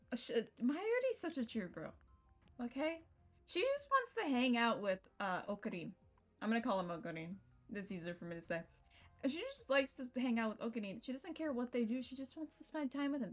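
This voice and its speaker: A woman's voice: fairly high pitch, big pitch swings, fairly quick, faint music behind. A woman of twenty-one streaming a visual novel, chatting casually with her viewers and laughing often, with some swearing. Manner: giggly, playful, swears, chats with viewers.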